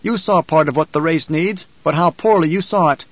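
A man's voice: fairly high pitch, a little fast, dry recording, highs cut off, natural voice. A man voicing a cold, defiant young nobleman in an audiobook, speaking in a controlled, declamatory way. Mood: angry command.

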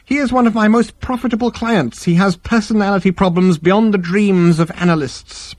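A man's voice: sits high, normal speed, a little mellow, no room sound, voice pushed up. A man voicing a smug, slippery alien psychiatrist in a storybook reading, with a cheery, unctuous, singsong professional patter. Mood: regretful, smooth.

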